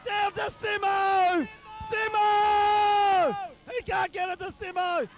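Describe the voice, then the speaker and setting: Man, Australian accent, very high-pitched, frantic shouting, amateur football commentator, partisan, repetitive calls. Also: slow pace, some chatter behind. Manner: shouty, wildly excited, repeats names and calls. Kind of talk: excited partisan live sports commentary.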